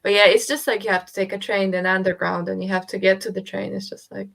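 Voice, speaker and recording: A woman's voice: medium pitch, level tone, fast talker, gravelly, a bit bright. A woman chatting casually and informally as co-host of a live stream. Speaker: co-host of a book readalong stream. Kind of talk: casual chat.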